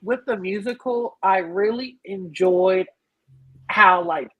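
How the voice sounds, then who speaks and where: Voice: medium pitch, slow pace, husky, no room sound. A podcast panelist chatting casually about a film, explaining animatedly and acting out reactions, with some casual swearing. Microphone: online group call. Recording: muffled, lo-fi online call audio.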